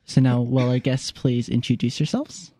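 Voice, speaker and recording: A man's voice: medium pitch, normal speed, mellow, dry recording. A man hosting youth radio, introducing pieces and guests in a friendly, plain way.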